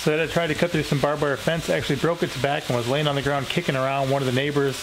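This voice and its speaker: A man's voice: a little high, fast, background noise. Laid-back man cooking over sizzling pans. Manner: relaxed, rambling, explains as he cooks. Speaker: homestead cooking vlogger. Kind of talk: casual cooking demonstration. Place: small home kitchen, indoors. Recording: clear kitchen sizzle behind voice. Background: pan sizzling and frying.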